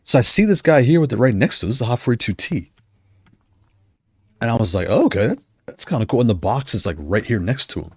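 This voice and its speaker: A man chatting casually on a livestream, rambling and correcting himself as he goes, his voice going hoarse. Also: medium pitch, sing-song, fast, a bit bright, no echo, clean studio sound. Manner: rambling, self-correcting, conversational. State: losing his voice. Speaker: streamer discussing controllers.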